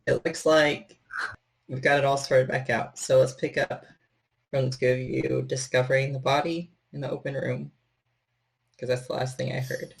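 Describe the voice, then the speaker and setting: A man's voice: mid-range voice, flat delivery, normal speed, gravelly, husky, rough recording. A man speaking casually as a storyteller for a tabletop game on an online group call. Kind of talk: casual talk and improvised game narration.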